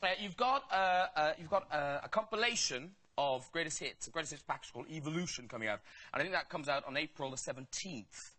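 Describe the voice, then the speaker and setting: Bright, lively male presenter. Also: a little high, fairly quick. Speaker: radio presenter. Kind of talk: broadcast interview.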